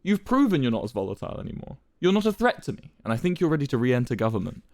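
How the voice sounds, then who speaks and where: A man's voice: medium pitch, no room sound. Man playing a smug, controlled president, dry sound. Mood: persuasive, deliberate. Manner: calm, deliberate, condescending. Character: smug, controlled male president, coolly cutting.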